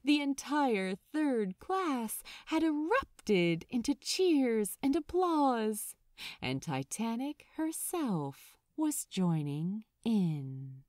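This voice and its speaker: A woman reading fiction aloud as a narrator, in a dramatic, expressive audiobook style. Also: on the high side, very slow, dry recording, clean studio sound.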